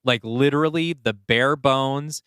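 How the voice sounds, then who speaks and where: A man's voice: medium pitch, a little slow, a bit bright, smooth, dry recording. Man in casual discussion, dry close sound. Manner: explanatory, brainstorming aloud. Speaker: podcast co-host and writer. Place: indoors, two people seated across from each other.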